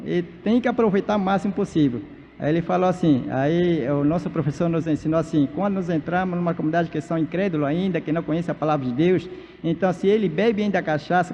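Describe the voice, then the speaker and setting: A man whose first language is Baniwa, a pastor and missionary from Amazonas, preaching at a church conference in halting speech full of fillers and restarts. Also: high voice, warm tone, slight background noise. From Amazonas, Brazil. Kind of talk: sermon at a church leaders' conference. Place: large church hall. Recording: dull, reverberant church PA recording.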